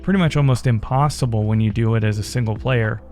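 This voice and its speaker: A man hosting his gaming channel, talking in a relaxed, rambling way with fillers, his voice still strained from a head cold. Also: medium pitch, quick pace, faint music behind. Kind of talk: casual gameplay commentary.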